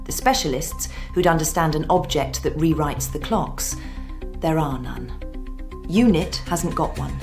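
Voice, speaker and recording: An actress playing a brisk, wry woman in charge of a secret archive, clipped and dry, in an audio drama. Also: low-pitched. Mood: tense, determined. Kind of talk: scripted audio drama acting. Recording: music under the voice.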